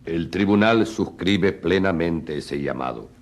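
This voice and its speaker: An actor playing a formal, declamatory judge who presides over a tribunal in a radio drama. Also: male voice, low-pitched, expressive, a little slow. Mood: grave, declamatory. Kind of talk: radio drama courtroom speech.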